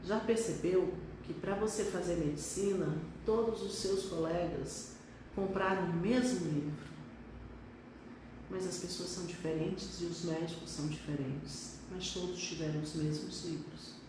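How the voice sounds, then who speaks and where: A woman's voice: on the low side, fairly even intonation, measured pace, background noise. A middle-aged woman pediatric neurologist from Brazil, talking reflectively on a live stream with some hesitations. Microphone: phone on live stream. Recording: echoey room, distant from mic.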